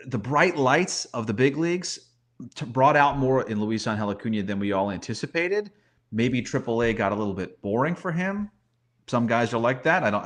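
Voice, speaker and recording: A man giving off-the-cuff sports analysis in casual talk, conversational, often repeating words as he thinks aloud. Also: medium pitch. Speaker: baseball commentator, guest analyst.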